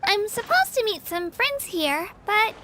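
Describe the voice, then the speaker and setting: A woman voicing a shy, good-natured young girl warrior in a game, soft and modest. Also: very high voice, sing-song, unhurried, very noisy, exaggerated voice. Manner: soft, modest, a bit bashful. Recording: game audio under music.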